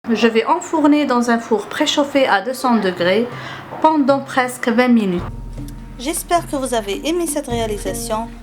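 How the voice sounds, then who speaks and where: Woman with an Algerian accent, higher-pitched. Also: lively intonation, moderate pace, faint music behind. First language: Arabic. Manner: hesitant, frequent filler sounds. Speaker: cooking channel host. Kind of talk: step-by-step recipe narration.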